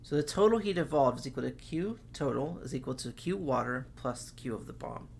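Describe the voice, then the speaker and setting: A man's voice: mid-range voice, expressive, a little fast, vocal fry, slight background noise. A man teaching chemistry, working through problems step by step and saying okay often, while losing his voice. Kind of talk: recorded classroom lecture.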